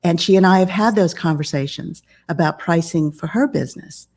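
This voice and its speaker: Woman, 50s, author and coach. Calm, steady and measured, with a lowish voice. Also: breathy edge. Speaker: guest business coach and author. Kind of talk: podcast interview conversation.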